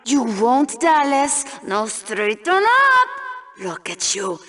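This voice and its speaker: A woman's voice: high voice, wildly swooping pitch, takes it slowly, sharp tone, heavily acted. An actress playing a brash, scornful female TV co-anchor in a video game, with a theatrical, biting delivery. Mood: scolding, mocking. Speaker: show co-host. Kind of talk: over-the-top video game broadcast banter.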